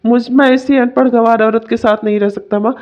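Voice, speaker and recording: A woman's voice: a little high, fairly even intonation, fairly quick, a little mellow, natural voice. A woman voicing a domineering, complaining young husband in a melodramatic family story. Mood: outraged. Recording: dull, muffled lo-fi sound.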